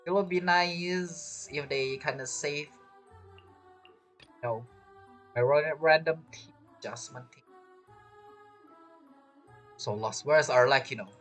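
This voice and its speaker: A man's voice: fairly high pitch, slow, pauses often, a bit bright. A man giving casual, musing gameplay commentary as a streamer, thinking aloud. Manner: rambling, musing. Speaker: game streamer. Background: faint game music.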